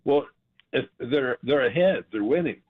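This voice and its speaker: Old american guy phoning a radio show, husky rough voice, halting n folksy, thin phone line. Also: medium pitch, animated. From California, USA. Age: 60s or older. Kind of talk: casual chat. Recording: tinny, narrow phone line.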